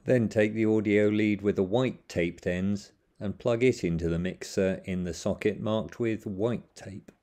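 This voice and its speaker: A man with a fairly low, warm and mellow voice reads step-by-step instructions as a voice-over. He keeps his delivery plain and methodical and restarts the odd word when he stumbles. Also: unhurried, hardly any pauses. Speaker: instructional narrator.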